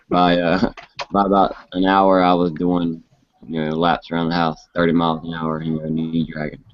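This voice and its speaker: A man's voice: low voice, monotone, moderate pace, slight background noise. A man in his late twenties chatting casually about motorcycles in a rambling, laid-back way with frequent restarts. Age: 20s. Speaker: host. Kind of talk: casual chat. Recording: muffled lo-fi live stream audio.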